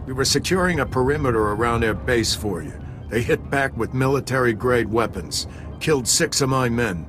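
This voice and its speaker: A man's voice: mid-range voice, normal speed, over music, lightly acted. An actor voicing a battle-weary male army sergeant with clipped military delivery in a sci-fi video game. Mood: defeated.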